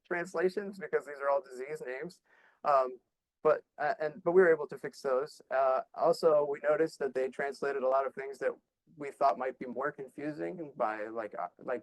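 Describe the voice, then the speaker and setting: Male conference presenter, mid-range voice, relaxed and chatty delivery, frequent fillers and self-corrections. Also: medium pitch, rough recording. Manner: loose, conversational, many fillers and restarts. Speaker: conference speaker. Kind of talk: conference talk presenting slides.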